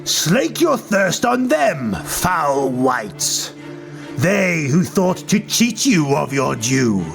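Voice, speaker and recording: A man voicing a merciless, wrathful dark elf highborn warlord who roars grandiose threats in a fantasy audiobook. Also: big pitch swings, extremely slow, creaky, soft background music, exaggerated voice. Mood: commanding, vengeful. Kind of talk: dramatic fantasy audiobook character voice.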